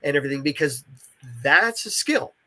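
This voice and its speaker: Talkative man, slightly high-pitched voice. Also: lively intonation, normal speed, sharp tone, a little hiss or hum. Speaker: interviewed writer and creator of interactive audio dramas. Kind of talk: casual interview chat.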